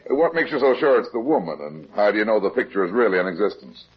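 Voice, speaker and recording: Deep-voiced hard-boiled radio detective. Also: male voice, quick pace, husky. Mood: cool, probing. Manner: terse, wry, deadpan. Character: cool, blunt, hard-boiled private detective. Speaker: lead actor in radio detective serial. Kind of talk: 1950s radio detective drama acting. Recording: muffled 1950s radio recording.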